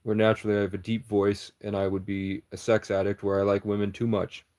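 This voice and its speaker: A man's voice: lowish voice, flat delivery, fairly quick, a little mellow. A man rambling through a livestream chat in a meandering, conspiratorial monologue, often breaking off and restarting.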